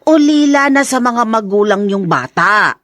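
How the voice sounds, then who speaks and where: A woman's voice: fairly high pitch, lively intonation, a little slow, fairly bright tone, dry recording. Coaxing Filipino older mother, close and dry. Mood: chatty, reassuring. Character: coaxing, kind-hearted older mother eager for grandchild. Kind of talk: Filipino radio drama acting.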